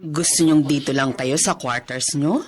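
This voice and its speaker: A care-home worker in a radio drama. She is a woman with a lowish voice, polite and solicitous. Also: measured pace.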